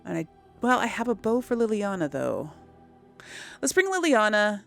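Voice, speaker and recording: A woman's voice: mid-range voice, sing-song, moderate pace, gravelly, soft background music. A woman giving casual, rambling commentary on a video game, thinking aloud to her viewers. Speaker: host of a video game let's play.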